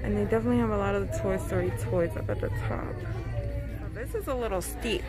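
A woman vlogging as she browses a large store, gushing excitedly over cute items and reading out prices. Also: mid-range voice, fast talker, loud music behind the voice, over a noisy crowd. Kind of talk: casual shopping vlog. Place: large indoor retail store.

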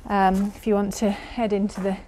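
Woman, 30s, home gardener, casual tour; mellow, low-key voice, little pitch movement, hesitant, recorded outdoors. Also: little pitch movement, normal speed, a little hiss or hum. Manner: chatty, hesitant, with frequent fillers. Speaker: gardener showing her garden.

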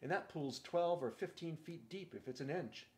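A man voicing a sharp, authoritative young king in a storybook reading, brisk and practical. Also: a little high, lively intonation, pitched above the performer's own voice, lightly acted. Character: sharp, commanding boy king.

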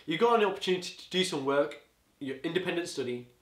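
An eighteen-year-old man giving rambling, casual advice to camera from a living-room sofa, his voice going a bit hoarse. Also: on the high side, animated, fast, echoey room. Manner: rambling, chatty, peppered with "you know". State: voice getting hoarse. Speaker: student vlogger. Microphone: camera on a tripod across the room.

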